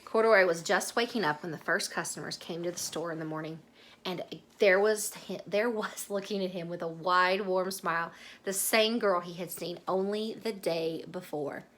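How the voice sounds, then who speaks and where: A woman reading a children's story aloud expressively, dramatic and dropping into hushed asides to the listener. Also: on the low side, fairly even intonation, moderate pace. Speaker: storybook reader.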